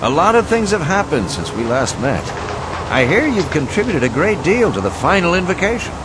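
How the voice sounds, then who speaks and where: A man's voice: a little high, sing-song, normal speed, noisy background. A man playing a sly, well-informed ally in a video game, with a knowing, teasing manner. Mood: surprised, friendly. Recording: music behind the voice.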